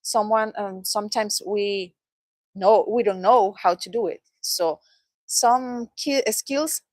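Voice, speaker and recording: A woman's voice: mid-range voice, a little mellow, dry recording. Woman, presenting. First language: Spanish. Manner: halting English with many fillers, laughs easily. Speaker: product trainer. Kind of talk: training presentation. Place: training room. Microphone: handheld microphone.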